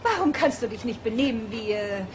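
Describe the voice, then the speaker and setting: A woman's voice: a little high, expressive, fairly quick, heavy background noise, lightly acted. A woman playing a strict, exasperated schoolteacher who scolds sternly in a cartoon audio play. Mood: exasperated, scolding.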